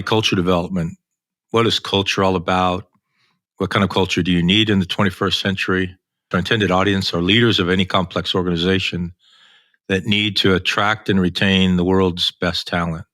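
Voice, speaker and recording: Deep, bright-voiced male podcast host. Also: no room sound, clean studio sound. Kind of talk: podcast hosting, introduction.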